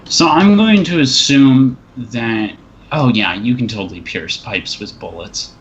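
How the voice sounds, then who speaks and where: A man's voice: mid-range voice, animated, measured pace, lo-fi sound. A man playing a tabletop role-playing game, chatting casually and crudely with plenty of swearing. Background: background music.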